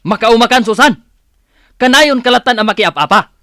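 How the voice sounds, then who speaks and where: A man's voice: very high-pitched, animated, moderate pace, pushed and bright, dry recording, studio quality, heavily acted. An actor playing an embarrassed husband fed up with his wife in a comic radio drama, complaining in a Filipino accent. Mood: exasperated, ashamed. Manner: complaining, exasperated.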